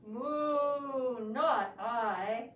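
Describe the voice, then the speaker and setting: A woman voicing a slow, unhelpful cow with drawn-out, blunt replies in a children's puppet show. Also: fairly high pitch, a bit bright, dull audio. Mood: dully refusing. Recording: echoey room, distant microphone.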